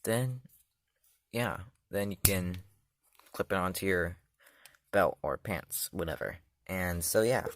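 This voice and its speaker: A man's voice: sits low, takes it slowly, pauses often, fairly bright tone, a little hiss or hum. A man hosting his own channel, walking through a gadget setup step by step in a loose, chatty way and often trailing off with "so, yeah.". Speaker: channel host reviewing a gadget. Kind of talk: casual step-by-step product demo.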